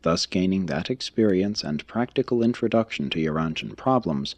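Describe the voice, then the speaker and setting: A man reading a religious text aloud as scripted narration, in a formal, measured, expository manner. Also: low voice, expressive, no echo. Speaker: audiobook reader.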